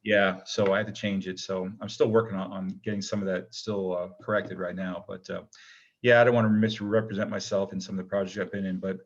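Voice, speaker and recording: A man with a fairly low voice chats casually as an interview guest. He rambles modestly and hesitantly, and the recording is somewhat dull and lo-fi. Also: flat delivery, brisk. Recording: dull, lo-fi connection.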